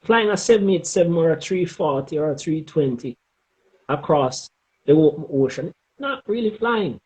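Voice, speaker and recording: A middle-aged man with a Jamaican accent giving advice in an interview, speaking emphatically and deliberately and often checking in with "okay?". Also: fairly high pitch, animated, darkish tone, poor audio. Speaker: interview guest, former air traffic controller.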